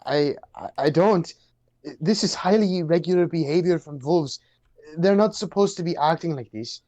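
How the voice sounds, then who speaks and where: A man playing an earnest, anxious young man who knows animals, explaining and halting in a dramatic script reading. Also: a little high, darkish tone, natural voice. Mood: tense, worried.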